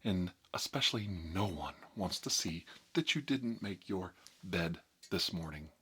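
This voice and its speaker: A man presenting a tongue-in-cheek ASMR tutorial as a mock doctor, speaking slowly and deliberately with a deadpan delivery. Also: low-pitched, breathy edge. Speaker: ASMR video host.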